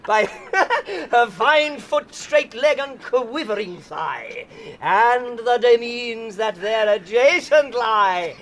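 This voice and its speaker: An actor playing a bawdy, playful young gallant in a Shakespearean audio play, teasing theatrically through the verse. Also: male voice, very high voice, animated, takes it slowly, fairly bright tone, slight background noise, full character voice. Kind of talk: Shakespearean radio play acting.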